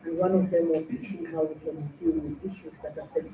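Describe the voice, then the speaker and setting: A government official giving a formal, halting address at a meeting. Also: mid-range voice, fairly even intonation. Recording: distant, echoey noisy meeting room.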